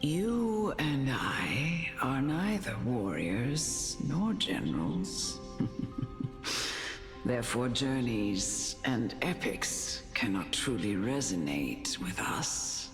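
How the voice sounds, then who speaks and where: Deep, slow, serene noblewoman. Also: sing-song, heavily acted. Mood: contemplative. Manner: measured, philosophical, formal phrasing. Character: serene, wise noblewoman, a gentle motherly mentor. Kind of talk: scripted fantasy game dialogue. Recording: long reverb, clear music behind.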